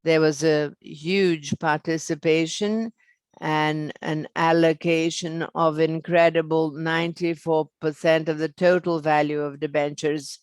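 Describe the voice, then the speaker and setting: A speaker presenting financial results on an earnings call in halting, fragmented phrases. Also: mid-range voice, flat delivery, smooth, dry recording.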